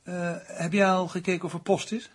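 Husky, understated male writer. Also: high voice, level tone, normal speed. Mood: casual, mild. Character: unruffled, dry male writer, partner of a volatile woman. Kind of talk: radio drama acting.

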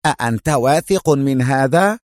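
A man's voice: medium pitch, animated, brisk, no echo, clean studio sound, natural voice. Casting: jittery male villain's associate voiced by a male reader, mid register, eager and insistent, audiobook thriller. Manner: agitated, insistent. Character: eager, nervous, anxious man, villain's associate. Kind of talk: dramatized audiobook character voice.

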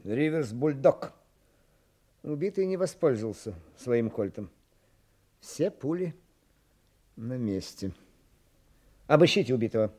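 Lively, officious police commissioner. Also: male voice, mid-range voice, unhurried, pauses often. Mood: probing. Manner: formal, interrogating, muttering thoughtful hums. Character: officious, probing police commissioner questioning suspects. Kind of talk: radio play acting.

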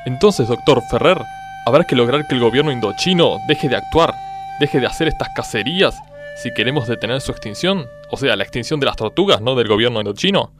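An actor playing an earnest nature-documentary narrator and interviewer, deadpan and mock-solemn in a scripted parody. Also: male voice, medium pitch, a little fast, lightly acted. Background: music.

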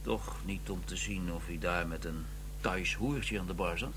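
An actor with a Netherlands Dutch accent playing a blunt, wry chief inspector in a radio drama, dryly persistent. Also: male voice, deep voice, a little slow, a bit bright, slight background noise. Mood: probing.

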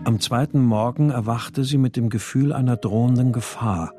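An actor playing a troubled husband searching for his missing family, narrating and speaking in a measured, brooding way in a radio crime drama. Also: medium pitch, music in the background, natural voice. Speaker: lead actor and first-person narrator.

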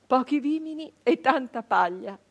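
An actress playing a wistful nineteenth-century peasant brigand woman in a halting, emotional theatrical monologue, with a husky voice. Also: sits high, lively intonation, full character voice. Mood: wondering, tender. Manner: hesitant repetitions, halting, emotional reminiscence. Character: 19th-century Italian peasant-born brigand woman, wistful, remembering home. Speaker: stage actress. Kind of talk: theatrical dramatic monologue for radio.

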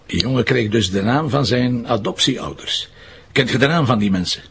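Sardonic, creaky Flemish police investigator. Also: male voice, medium pitch, expressive, moderate pace, a bit bright. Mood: businesslike. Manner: dry, sarcastic. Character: sardonic male police investigator pressing a suspect. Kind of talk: Flemish crime audio drama acting.

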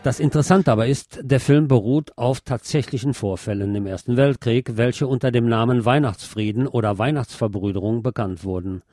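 A man's voice: medium pitch, brisk, few pauses, dark tone, faint music behind. A man hosting a radio show, presenting a film review in a semi-scripted narrating style.

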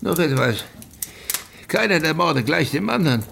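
An exasperated provincial police chief in a radio noir. His voice is fairly high and forceful with a creaky grain, clipped and insistent. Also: expressive, moderate pace, slight background noise, full character voice. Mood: grim, exasperated. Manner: agitated, insistent, clipped lists. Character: tense, defensive, exasperated male provincial Soviet police chief. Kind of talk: noir radio drama dialogue.

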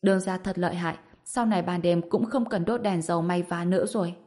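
A woman voicing an excitable, admiring young village wife with an eager, deferential manner in an audiobook. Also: on the low side, fairly quick, natural voice.

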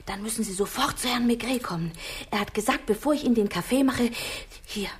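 Meek, subdued waitress. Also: female voice, fairly high pitch, brisk, slightly rough. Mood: dutiful. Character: meek, dutiful hotel waitress. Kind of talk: 1960s German radio crime drama acting.

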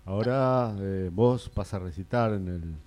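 Man acting a stubborn, low-voiced Argentine would-be singer. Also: measured pace, a little mellow, lightly acted. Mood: agreeable. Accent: Argentine Rioplatense. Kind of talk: improvised radio drama.

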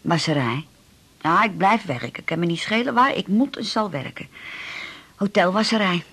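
Krakerige, praatgrage volksvrouw. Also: female voice, fairly low pitch, lively intonation, normal speed, a bit bright, breathy edge, exaggerated voice. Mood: nostalgic, chatty. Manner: earthy, effusive, rambling storytelling with exclamations. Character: chatty, down-to-earth working-class woman, former chambermaid. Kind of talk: radio drama acting.

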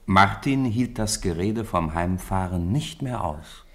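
A middle-aged man calmly narrating a radio drama in a studio, with measured, gently solemn delivery. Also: low voice. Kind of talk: scripted storybook narration.

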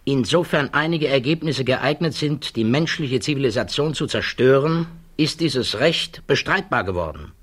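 A male actor in a 1960s German radio drama playing a troubled, thoughtful physicist who testifies in a hesitant, measured way. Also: medium pitch, lively intonation, fairly bright tone, slightly rough. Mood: grave, firm.

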